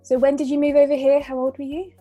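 A woman interviewing conversationally, putting questions in a gentle, tentative way. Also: high voice, little pitch movement, fast talker, dull audio, soft background music. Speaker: podcast interviewer.

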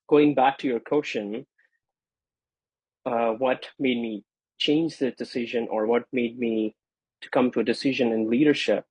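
A man in his twenties whose first language is Gujarati, a podcast guest giving earnest, grateful answers with frequent pauses and repeats. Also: mid-range voice, darkish tone, slightly rough, no room sound, low-quality recording. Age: 20s. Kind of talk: conversational interview answers.

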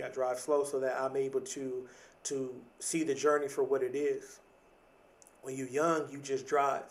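A man's voice: medium pitch, distant mic. A man in his thirties talking in a reflective, casual podcast monologue, often repeating and restarting words, with casual slang. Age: 30s.

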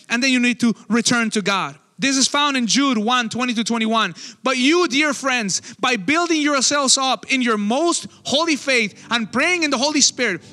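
A young man preaching a sermon as pastor, conversational with quick asides, in a church auditorium on a stage microphone. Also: extremely high pitch, sharp tone, in a large hall.

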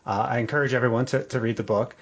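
Measured, appreciative male interviewer. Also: medium pitch, steady tone, husky, dull audio. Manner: measured and appreciative, with some halting restarts. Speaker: podcast interviewer. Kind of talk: interview questions.

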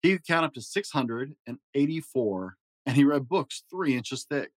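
A man reading a children's fairy tale aloud in a gentle storytelling manner. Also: animated, moderate pace, no echo. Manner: gentle storytelling with varied pitch for emphasis. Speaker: storybook reader.

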